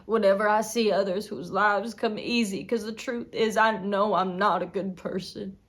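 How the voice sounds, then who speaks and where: A woman's voice: medium pitch, fairly even intonation, normal speed, lightly acted. A woman acting a desperate, tormented young farm wife in an intense dramatic film monologue. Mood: anguished, bitter. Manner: intense, swinging from whispers to anguished outbursts. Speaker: acting student.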